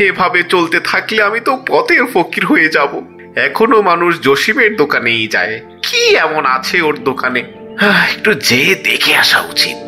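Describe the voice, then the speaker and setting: Greedy shopkeeper, animated and bright. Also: male voice, on the high side, normal speed, soft background music, exaggerated voice. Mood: fretful, complaining. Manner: exclamatory, complaining, melodramatic. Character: greedy, grumbling, envious shopkeeper in a moral fable. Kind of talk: cartoon voice acting.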